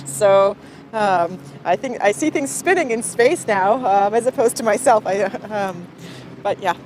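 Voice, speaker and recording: A woman astronomer speaking off the cuff in an interview, with halting, hesitant phrasing. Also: mid-range voice, slight background noise. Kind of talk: unscripted interview explanation.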